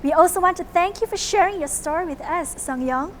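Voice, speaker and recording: Upbeat high-voiced woman event host. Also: brisk, slight background noise. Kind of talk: scripted hosting and introductions.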